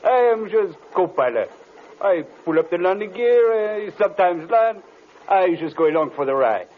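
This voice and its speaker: An actor playing a chatty, easygoing French co-pilot with a French accent and halting phrasing, in old radio drama style. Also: male voice, high-pitched, expressive, narrow, tinny audio. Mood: cheerful. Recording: thin, noisy old radio recording.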